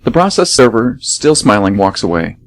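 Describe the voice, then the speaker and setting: A man's voice: fairly low pitch, very animated, normal speed. A man hosting and narrating a radio theater show in scripted announcements and narration.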